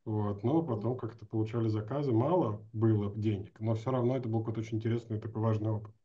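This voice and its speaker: Male host, forties, soft dark tone, informal and rambling, slightly muffled video-call sound. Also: lowish voice, steady tone, fast. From Astrakhan, Russia. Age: 40s. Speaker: host, interviewer. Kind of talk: casual conversational interview. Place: small room indoors, video call.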